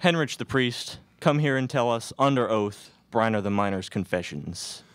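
A man's voice: mid-range voice, expressive, moderate pace, clear, resonant tone, no room sound, lightly acted. A young man playing an officious Wild West judge in a student radio play, declaiming into a stage microphone. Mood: stern, official. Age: young adult. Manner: declamatory, calling for order. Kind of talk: student radio theatre acting. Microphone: stage microphone on stand.

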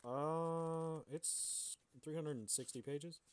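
A man's voice: on the high side, steady tone, takes it slowly, clear, resonant tone. A man co-hosting a podcast, bantering casually and reading aloud. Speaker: podcast host.

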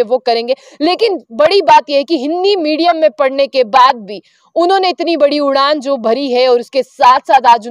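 A woman's voice: high-pitched, dry recording, studio quality. A young woman reporter, speaking to camera outdoors with a handheld mic, reading notes and correcting herself as she goes. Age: young adult. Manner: reads from phone, fluent with fillers and self-corrections. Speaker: news reporter. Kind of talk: piece to camera news report. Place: outdoors under a tree.

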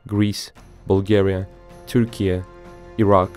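Male narrator for an industrial report: low register, formal, measured scripted read, faint music bed. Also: level tone.

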